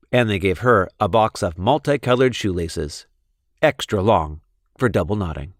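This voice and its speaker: A man reading a children's storybook in an expressive narrating style. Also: medium pitch, dry recording, studio quality. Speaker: storybook reader.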